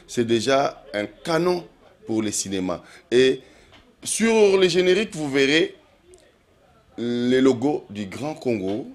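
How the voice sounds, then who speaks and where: A man's voice: medium pitch, darkish tone. A man hosting a TV interview show, asking long questions full of hesitations and switching into Lingala now and then. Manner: lots of "euh" hesitations, mixes in Lingala. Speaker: TV show host.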